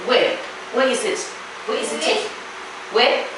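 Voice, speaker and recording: Distant echoey classroom recording, noisy, woman teacher, Zimbabwean accent, higher voice, repetitive questioning. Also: expressive, measured pace. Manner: repeats questions and answers insistently. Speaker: primary school teacher. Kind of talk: classroom lesson with questions to pupils. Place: classroom, indoors. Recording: echoey classroom, distant mic, clear noise.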